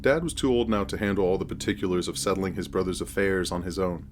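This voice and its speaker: A man narrating a scripted first-person horror story in a measured, deliberate storytelling manner. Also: deep voice, soft background music.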